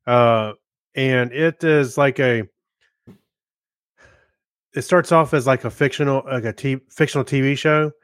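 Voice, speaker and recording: A man co-hosting a podcast, giving casual reviews in a halting way as he searches for his words. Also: mid-range voice, fairly bright tone, clean tone, no room sound, clean studio sound. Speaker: podcast co-host. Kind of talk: casual film review chat.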